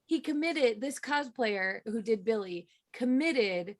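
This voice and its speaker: A woman co-hosting a podcast, chatting casually and telling stories in an excitable way. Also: a little high, takes it slowly. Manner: excitable, animated storytelling. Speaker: podcast co-host. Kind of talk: casual group chat about film and TV fandom.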